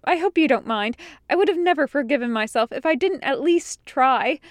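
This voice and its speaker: A woman's voice: sits high, lively intonation, measured pace, no room sound, studio quality, pitched above the performer's own voice. A woman voicing an innocent, anxious young woman in a story, flustered, hesitant and eager to please. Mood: apologetic. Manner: flustered, hesitant, literal-minded.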